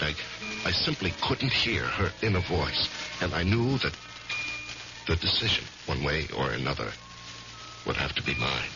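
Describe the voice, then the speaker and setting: An American actor playing a troubled middle-aged lawyer in an old-time radio drama, speaking hesitantly and haltingly. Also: male voice, sits low. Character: troubled, guilt-ridden male lawyer, torn and evasive. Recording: muffled vintage radio recording.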